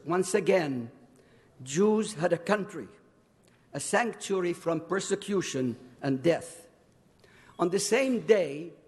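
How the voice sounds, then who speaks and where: Slow, elderly man in his eighties. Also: fairly high pitch. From Iraq. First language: Arabic. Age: 80s. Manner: slow, deliberate reading of a prepared speech. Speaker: invited speaker. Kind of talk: prepared public testimony. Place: large council chamber. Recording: long hall reverb.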